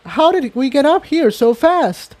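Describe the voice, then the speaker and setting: Guy doing a puzzled little girl pony in an adventure tale, high voice, asking everything all bewildered. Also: sing-song, a little slow, darkish tone, heavily acted. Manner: bewildered, questioning. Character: confused, wide-eyed female pony sidekick.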